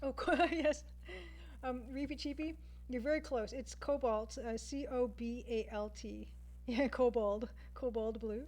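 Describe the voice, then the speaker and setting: Woman, Canadian accent, fairly high voice, casual livestream chat, chatty, thinking aloud. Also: fairly even intonation, measured pace, darkish tone. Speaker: livestreamer, game artist. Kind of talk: casual chat with stream viewers while drawing.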